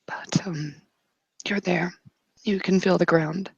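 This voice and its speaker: A woman game master improvising narration for a tabletop roleplay, halting yet directive. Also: lowish voice, fairly even intonation, gravelly, husky, no echo, muffled recording.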